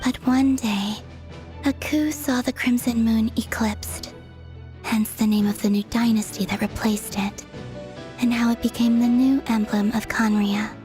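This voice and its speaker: A woman voicing a solemn, mysterious storyteller in a video game, hushed and deliberate. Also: a little high, fairly even intonation, faint music behind, lightly acted. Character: solemn, mysterious female teller of ancient lore.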